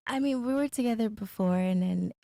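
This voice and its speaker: A woman's voice: medium pitch, fairly quick, vocal fry, warm tone, dry recording. A woman in her twenties from Brazil, Guyana and Miami, answering questions as a podcast guest, often starting with a hesitant "um". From born in Brazil, raised in Guyana and Miami. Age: 20s. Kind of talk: casual chat.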